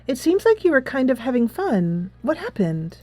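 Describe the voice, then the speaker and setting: A woman voicing a sweet, earnest, eager high school girl from a visual novel game. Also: very animated, moderate pace, warm tone. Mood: earnest, hurt. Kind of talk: visual novel character read aloud.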